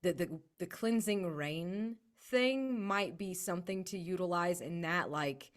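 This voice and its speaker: Low-voiced woman, slow and enthusiastic. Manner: enthusiastic, informal. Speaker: tabletop roleplaying game player. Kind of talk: casual collaborative game brainstorming.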